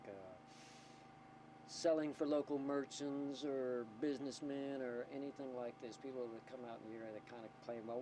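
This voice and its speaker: A man with an average-pitched voice explains his work as a small community radio operator. He talks informally and deliberately, picking his words with care. The old documentary tape carries clear, steady rumble and noise around him. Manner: halting, deliberate, choosing words carefully. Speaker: community CB radio station operator and announcer, interviewee. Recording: noisy 1990 documentary tape, vehicle or generator rumble.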